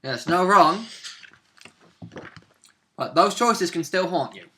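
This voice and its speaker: A man's voice: high voice, expressive, takes it slowly, over music. A young man, a player and co-host, chatting casually about a roleplay game at home. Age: young adult. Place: small room at home, indoors.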